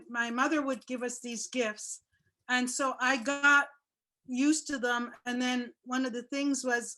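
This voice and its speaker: A woman telling a personal story in casual chat over a video call, with a loud, chatty way of talking. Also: on the high side, rough recording. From Jamaica. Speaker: guest speaker.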